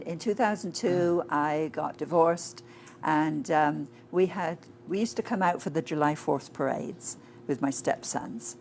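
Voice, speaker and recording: A woman's voice: deep voice, darkish tone, slight background noise. A woman from England, answering interview questions conversationally with frequent fillers. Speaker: interview guest. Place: inside a flying saucer-themed shop.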